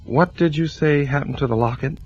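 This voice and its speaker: An actor playing a tense, suspicious fire inspector and family man who narrates his own story deliberately, in 1940s radio drama style. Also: on the high side, slightly rough. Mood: probing, suspicious. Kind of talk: 1940s radio acting, first-person suspense narration. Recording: muffled old radio recording, loud organ music.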